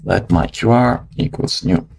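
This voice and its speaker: A man's voice: mid-range voice, sing-song, a little slow, creaky, a little mellow. A man narrating a coding tutorial, upbeat and explaining as he goes. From Italy. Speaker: tutorial presenter. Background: keyboard typing.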